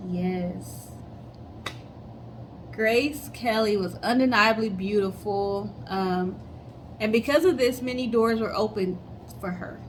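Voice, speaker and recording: A woman's voice: mid-range voice, slow, long pauses, slight background noise. A woman co-hosting a casual storytelling show, chatting conversationally and speaking straight to viewers. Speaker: co-host of a storytelling guessing show.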